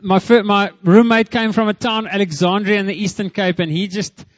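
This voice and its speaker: A male pastor in his thirties with a South African English accent, praying and then preaching in a church in a chatty, warm way that is full of "amen". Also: high voice, fairly even intonation, normal speed, no echo, dull audio. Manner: warm, chatty, mixes in Afrikaans, lots of "amen" and "Lord". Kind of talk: church prayer and sermon. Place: church hall with a live congregation.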